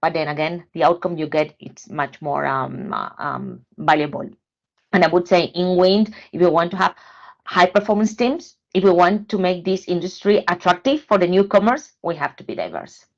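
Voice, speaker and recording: A woman talks earnestly as a podcast guest, hesitating often as she searches for her words. Her voice sits a bit low. The sound is muffled and lo-fi. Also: normal speed, gravelly. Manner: hesitant, many fillers and restarts, earnest. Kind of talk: casual panel discussion.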